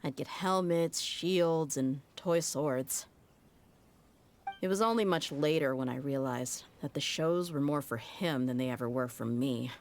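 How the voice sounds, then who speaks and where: Brief: a candid, deep-voiced female sellsword who speaks plainly. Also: a little slow, noisy background. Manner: frank, wry, matter-of-fact. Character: tough young female mercenary, blunt and candid. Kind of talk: video game cutscene dialogue.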